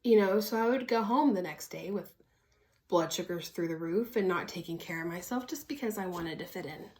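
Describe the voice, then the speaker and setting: A woman's voice: lowish voice, normal speed, darkish tone. A woman in her early thirties from Oklahoma with an American accent, telling a personal story in a casual, candid, chatty way as a vlogger. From Oklahoma, United States. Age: early 30s.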